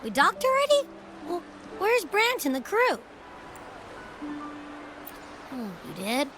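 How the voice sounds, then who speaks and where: An actress voicing an excitable, bewildered little companion in a video game, exclaiming in a wide-eyed way. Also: female voice, extremely high pitch, takes it slowly, over music, heavily acted. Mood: confused surprise. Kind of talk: video game cutscene acting.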